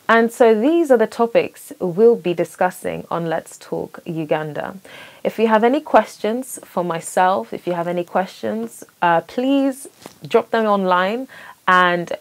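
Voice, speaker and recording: A young woman born in Sweden, hosting and speaking thoughtfully and earnestly about her identity, with small restarts. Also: mid-range voice. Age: young adult. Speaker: host. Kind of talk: reflective personal monologue.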